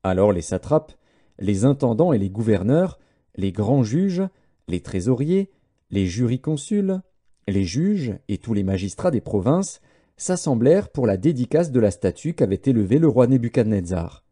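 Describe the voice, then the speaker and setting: A man narrating scripture as an audiobook in a solemn, measured way. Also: mid-range voice, big pitch swings, mellow.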